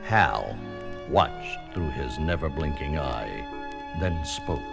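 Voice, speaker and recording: A man's voice: rumbling low, expressive, slow, creaky. A man narrating a children's read-along story in a dramatic, scripted storytelling style. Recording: music under the voice.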